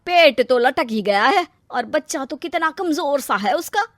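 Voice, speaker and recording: A woman's voice: sits high, animated, unhurried, dry recording, clean studio sound. A woman voicing an older, hardworking mother-in-law in a cartoon story, caring and coaxing in manner. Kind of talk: cartoon story character acting.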